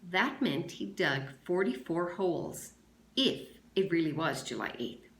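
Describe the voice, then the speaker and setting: A woman's voice: fairly low pitch, very animated, unhurried. A woman, a teacher, reading a children's novel aloud with expression. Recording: echoey room sound.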